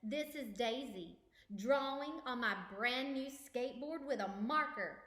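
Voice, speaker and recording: A woman voicing a moody, excitable young boy calf in a storybook, with emphatic childlike complaining. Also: sits high, unhurried, roomy sound, pitched above the performer's own voice. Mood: grumpy.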